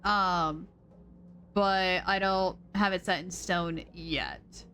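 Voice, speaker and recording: A woman's voice: on the low side, steady tone, drawn-out words, faint music behind. Chatty woman streamer thinking aloud. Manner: chatty, rambling, thinking out loud with fillers. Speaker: game streamer. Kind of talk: casual live-stream chat.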